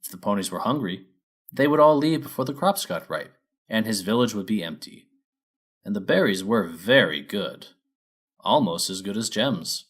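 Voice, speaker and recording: A male narrator reading a storybook aloud. His voice sits a little low. The delivery is scripted storytelling. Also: animated, moderate pace, husky.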